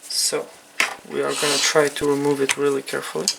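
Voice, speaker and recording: Low, flat-voiced Ukrainian-accented woman. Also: creaky, heavy background noise. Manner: halting, repetitive phrasing in non-native English. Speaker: phone repair technician presenting a tutorial. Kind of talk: unscripted hands-on repair tutorial.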